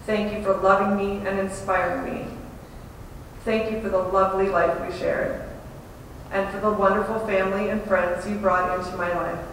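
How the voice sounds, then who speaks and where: A woman delivering a prepared memorial tribute in a measured, deliberate way with emphatic pauses. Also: little pitch movement, a bit bright. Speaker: widow giving a memorial tribute. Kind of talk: prepared eulogy. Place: large echoing hall at a memorial service. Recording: very echoey hall, distant, faint rumble.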